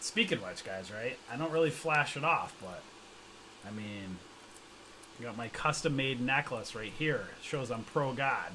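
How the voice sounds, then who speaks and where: A man's voice: medium pitch, lively intonation, moderate pace, bright, forceful tone, a little hiss or hum, poor audio. A man hosting a stock trading livestream in casual, joking chat, reading out viewer comments and reacting loosely with slang.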